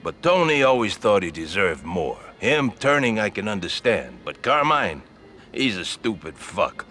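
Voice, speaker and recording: Forceful, streetwise old-school mobster. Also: male voice, lowish voice, sing-song, a little slow, faint music behind, heavily acted. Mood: bitter. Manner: streetwise, colloquial, grumbling. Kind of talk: video game crime drama acting.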